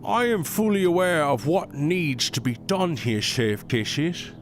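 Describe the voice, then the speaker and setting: A commanding royal advisor from an audio drama, voiced by a man. He speaks formally and with clipped authority, quick to put others in their place. A clear music bed plays under him. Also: on the high side, lively intonation. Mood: stern, authoritative. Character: stern male royal advisor, proud and commanding.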